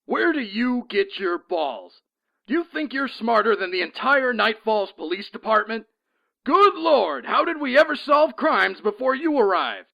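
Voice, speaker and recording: An actor playing an exasperated, sarcastic police lieutenant in an audio drama, creaky and commanding, in muffled sound. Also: male voice, very high voice, very animated, measured pace, pushed and bright, exaggerated voice. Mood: scornful, exasperated. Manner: scornful, commanding.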